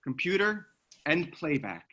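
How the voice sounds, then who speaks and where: A man playing a sharp-edged military commander in his forties, terse and questioning, at a screenplay table read. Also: a little high, very animated, unhurried, full character voice. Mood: terse, serious.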